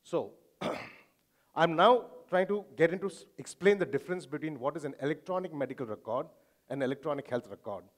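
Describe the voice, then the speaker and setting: A man with an Indian accent speaks to a conference audience, explaining things in an informal way. His voice sits a little high for a man. He sounds like a physician presenting his field. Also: brisk.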